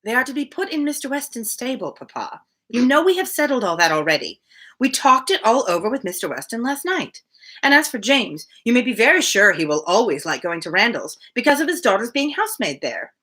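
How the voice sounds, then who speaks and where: A woman reads as a cheerful young gentlewoman of a storybook. She is patient and reassuring, with a bright voice and lively pitch. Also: a little fast, breathy edge, heavily acted. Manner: patient, coaxing. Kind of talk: storybook reading of dialogue.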